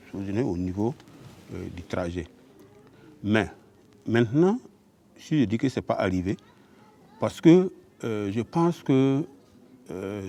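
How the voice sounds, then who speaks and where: A man with a Burkinabe accent giving his opinion in an interview, halting and repeating himself. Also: on the low side, darkish tone.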